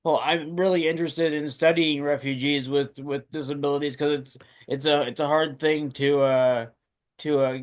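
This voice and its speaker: A man interviewing a guest, asking questions haltingly and repeating words often. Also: a little high, level tone. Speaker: student interviewer.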